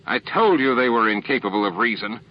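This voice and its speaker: A hostile alien defense master, put on by a man, snapping with stiff, contemptuous dignity in a sci-fi audiobook. His pitch is raised and animated, on a dull recording. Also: fairly quick, slight background noise, natural voice.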